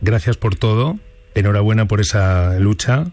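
A man with a Spain Spanish accent interviewing on the radio in an earnest, conversational way, feeling out his phrases with pauses. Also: fairly low pitch, a little mellow, no room sound, faint music behind.

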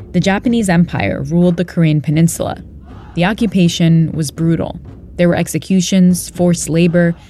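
A woman delivering scripted documentary narration in a measured, explanatory way. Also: lowish voice, warm tone.

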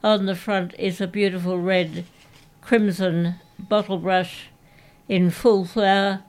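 Australian woman co-host, flat, slow and smooth, talks about a local book. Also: mid-range voice. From Canberra, Australia. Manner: unhurried, reads aloud from a book. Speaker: radio co-host. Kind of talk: casual radio chat. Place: radio studio.

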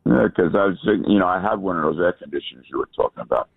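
Gravelly caller on a tinny phone line. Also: male voice, deep voice, expressive, no echo. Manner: rambling, halting, gravelly. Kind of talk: phone-in chat.

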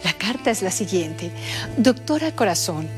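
A woman's voice: mid-range voice, sing-song, normal speed, close to an everyday voice. A woman playing a syrupy, theatrical love-advice columnist with a Colombian accent in a comedy radio sketch. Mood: gushing, sympathetic. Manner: gushing, theatrical. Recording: music playing behind the voice. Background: music.

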